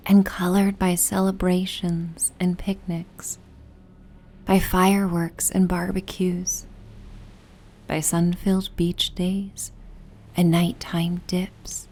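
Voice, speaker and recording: A woman narrating a soothing sleep meditation and bedtime story in a gentle, soft, half-whispered, breathy voice. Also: fairly low pitch. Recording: steady ocean and wind ambience noise. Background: ocean and wind ambience.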